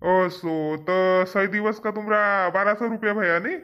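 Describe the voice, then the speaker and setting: Man voicing a high-pitched, firm village farmer, muffled. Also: steady tone, measured pace, clear, resonant tone. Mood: questioning. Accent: Powari, eastern Maharashtra and Balaghat region. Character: firm tight-fisted village farmer employing labourers. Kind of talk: cartoon comedy dialogue. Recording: dull, muffled lo-fi sound.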